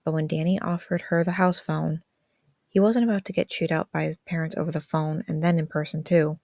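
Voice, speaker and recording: Female amateur story reader, lower register, fan fiction narration, dry sound. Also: fairly even intonation, a little fast, mellow, no echo.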